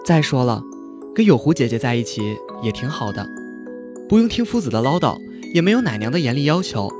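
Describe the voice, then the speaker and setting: A woman playing an eager, innocent and polite young boy in a fantasy audio drama, earnest and lively. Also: rumbling low, a little fast, over music.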